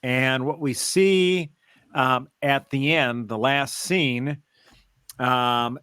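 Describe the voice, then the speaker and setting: A man co-hosting a podcast, explaining things step by step in a halting, casual way. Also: medium pitch, a bit bright, clean tone, no room sound, studio quality. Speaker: podcast co-host. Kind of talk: casual fan discussion, recounting and theorizing.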